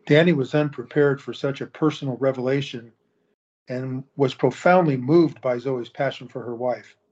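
Man, audio drama narrator, measured storytelling, mid pitch, muffled lo-fi sound. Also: steady tone, a little mellow, breathy edge. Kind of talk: scripted narration. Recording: dull lo-fi recording.

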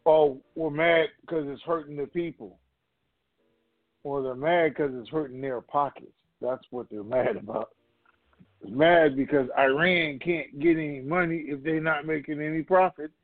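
A man's voice: a little high, a little slow, dry recording. A man hosting a conference call, talking to the group in a casual, rambling, folksy way. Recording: muffled, narrow-band phone conference line.

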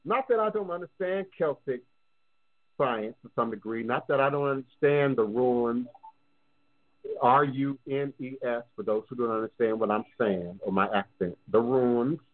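A man with a New Orleans accent holds forth on a live call-in show. He talks at a middling pitch with emphatic repetition, and his voice comes through thin and boxy, like a phone line. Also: normal speed. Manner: emphatic, repeats phrases for stress. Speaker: radio show host and voodoo priest. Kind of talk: live call-in radio talk.

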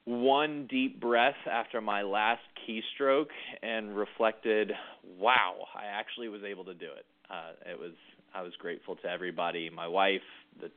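Deep-voiced thoughtful man on a tinny line. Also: fairly even intonation. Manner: thoughtful, halting, frequent restarts. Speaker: author interviewed about his book. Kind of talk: reflective conversational interview.

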